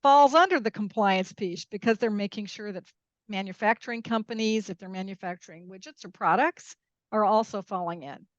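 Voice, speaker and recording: A woman's voice: medium pitch, brisk, no echo, muffled recording. A woman who grew up in Peru and Mexico, explaining her views emphatically in a conversational interview, often checking in with the listener. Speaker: podcast guest, international corporate lawyer.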